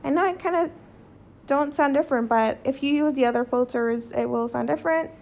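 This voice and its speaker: A woman's voice: sits high, brisk, darkish tone. A woman hosting a casual how-to tutorial. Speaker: tutorial host. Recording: faint background noise.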